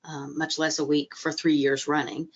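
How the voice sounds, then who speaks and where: A low-pitched middle-aged woman talking over a video call, her voice a bit stuffy from a cold. She hesitates often, circling back with fillers and restarts. The sound is muffled and dull. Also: level tone, normal speed. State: recovering from a cold, a little stuffy. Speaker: guest speaker, library trainer. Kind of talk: webinar talk.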